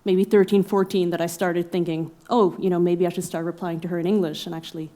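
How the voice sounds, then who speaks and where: A woman's voice: lowish voice, little pitch movement, fast, mellow. A young woman from Norway speaking in a casual podcast conversation, reflective and thoughtful, with frequent fillers and laughter. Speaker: exhibiting artist guest.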